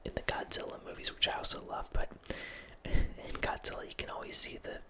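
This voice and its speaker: A man in his thirties hosting an ASMR channel, whispering a rambling film chat into a close microphone in a small home room. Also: brisk. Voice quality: soft unvoiced whisper. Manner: rambling, with restarts and quiet laughs. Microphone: close studio mic with headphones.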